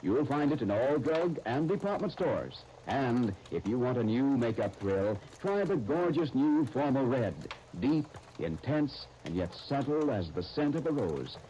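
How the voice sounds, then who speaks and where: An American man announcing and narrating from a script in polished, deliberate 1930s radio style. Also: mid-range voice, very animated, dark tone, highs cut off. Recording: noisy 1930s radio broadcast recording.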